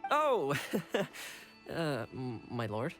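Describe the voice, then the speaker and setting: A man voicing a loyal, easygoing young retainer in an anime game, polite but teasing and gently exasperated. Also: mid-range voice, huge pitch swings, very slow, faint music behind, full character voice. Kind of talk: scripted anime game dialogue.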